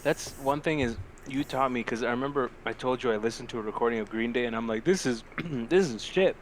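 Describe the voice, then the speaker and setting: A man co-hosts a casual review podcast with a mid-pitched voice. He is animated and slangy, swearing freely as he gives his opinions. There is some noise behind him. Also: fast. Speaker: podcast co-host. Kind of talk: casual movie-review banter.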